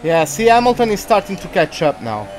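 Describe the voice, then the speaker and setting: A man's voice: sits high, very animated, a little fast, soft background music. A man from Portugal with a Portuguese accent, chatting and rambling as he streams live commentary on a racing game. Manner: chatty, rambling, easily distracted. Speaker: gaming streamer.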